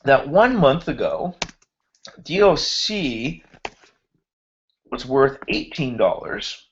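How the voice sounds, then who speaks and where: A man's voice: mid-range voice, sing-song, muffled recording, slight background noise. A man teaching a finance class over an online hangout, walking through a spreadsheet example while he is losing his voice. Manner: slow, thinking aloud while formatting cells. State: losing his voice, clearing throat. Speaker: finance instructor. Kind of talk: screen-share spreadsheet lecture. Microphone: computer mic on a video hangout.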